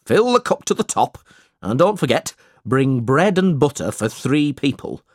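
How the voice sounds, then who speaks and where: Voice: mid-range voice, sing-song, a little slow, no room sound, studio quality. A storybook reader voicing a stingy, blustering middle-aged male schoolmaster who talks in a bossy, falsely generous way.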